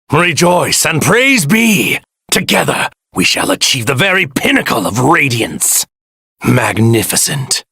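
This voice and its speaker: A man voicing an arrogant, evil god in a stolen warrior body for an anime game, pompous, theatrical and menacing, with a husky, gravelly voice. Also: a little high, a bit bright, no room sound, clean studio sound, exaggerated voice. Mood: smug rapture. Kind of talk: anime video game voice lines.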